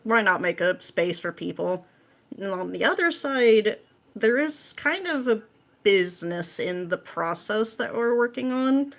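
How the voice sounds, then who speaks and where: A woman's voice: mid-range voice, animated, measured pace. A woman speaking as an interview guest in an informal, wry, conversational way. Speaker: podcast guest, script writer. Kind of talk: casual interview chat.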